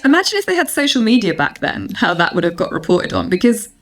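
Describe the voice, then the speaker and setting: A woman in her thirties with a medium-pitched voice talks casually as a guest on a podcast. She is articulate and reflective, with a wry edge. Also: very animated, fast talker, a bit bright. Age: 30s. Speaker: podcast guest, reality show winner. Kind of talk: casual chat.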